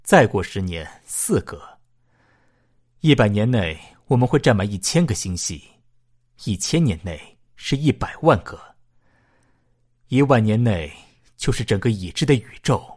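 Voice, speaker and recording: Man acting a husky, lively-pitched far-future thinker. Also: a little slow, long pauses, full character voice. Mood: earnest, emphatic. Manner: reasoning, emphatic, philosophical. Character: far-future man nearly two hundred, youthful, pondering humanity's fate. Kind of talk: sci-fi radio drama dialogue.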